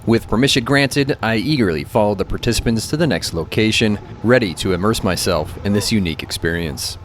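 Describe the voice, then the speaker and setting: A man from the United States narrating his travel video in a calm, measured, scripted voice-over. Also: fairly low pitch, background noise. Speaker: travel vlogger. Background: background music.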